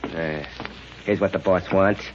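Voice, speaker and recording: A man's voice: low voice, steady tone, measured pace. An actor playing a curt, sinister gangland henchman who threatens in 1930s radio crime drama style. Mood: menacing. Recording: very noisy muffled 1930s radio recording.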